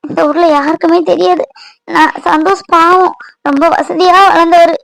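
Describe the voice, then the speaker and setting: A woman's voice: extremely high pitch, steady tone, moderate pace, gravelly, dry recording, rough recording. A woman voicing a frightened young wife who cries and pleads with an older friend. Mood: pleading. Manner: tearful, trembling, pleading. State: crying. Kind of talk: Tamil domestic audio drama.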